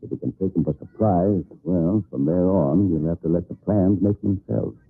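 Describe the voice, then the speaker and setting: An actor playing a steady, commanding western lawman hero, terse and decisive, in an old radio drama. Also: sits low, moderate pace, slightly rough, soft background music. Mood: resolute. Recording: tinny band-limited old radio recording.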